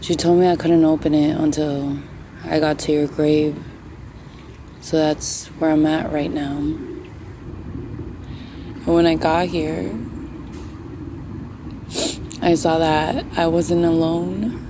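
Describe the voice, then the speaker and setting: A woman playing a grown grandchild who speaks softly and reflectively in an audio drama monologue. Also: sits low, level tone, slow, pauses often, noisy background.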